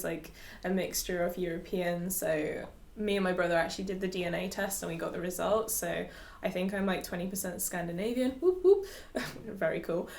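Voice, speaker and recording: A woman's voice: medium pitch, echoey room. A young woman in her twenties who grew up in Dubai, a yoga teacher chatting casually to camera, giggling often and using lots of fillers.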